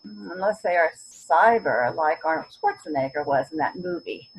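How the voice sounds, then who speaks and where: A woman's voice: lowish voice, animated, normal speed, vocal fry, slight background noise, low-quality recording. A woman acting a stubborn, principled, wry elderly mother in a readers theater drama, often searching for words and then stressing them. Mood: firm, wry. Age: older. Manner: word-searching, emphatic, wry.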